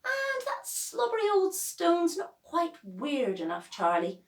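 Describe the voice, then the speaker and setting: A woman's voice: extremely high pitch, huge pitch swings, takes it slowly, voice pushed up, heavily acted. A woman voicing a dramatic young girl in a children's story, complaining with exaggerated emphasis. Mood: teasing. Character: Beaky, loud dramatic breathless young girl. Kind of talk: children's audiobook character voice. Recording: echoey room, distant from mic.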